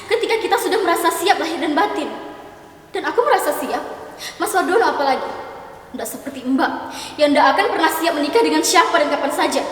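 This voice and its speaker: A woman's voice: sits high, recorded from a distance, slight background noise, natural voice. A woman playing a headstrong young woman who pushes her older sister about marrying, in a staged dramatic reading. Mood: defiant. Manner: insistent, teasing, emotional. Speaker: dramatic reader. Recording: echoey hall with long reverb.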